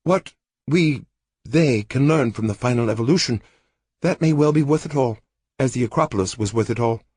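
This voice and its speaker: Man doing a soft wistful woman. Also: mid-range voice, normal speed, slightly rough, no echo, clean studio sound, voice pushed up, lightly acted. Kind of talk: audiobook character voice.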